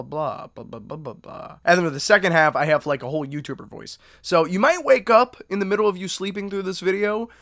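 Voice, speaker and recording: Rambling young man, bright casual voice. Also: fairly high pitch. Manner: rambling, self-deprecating, many fillers. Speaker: YouTube reviewer. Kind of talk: casual vlog talk.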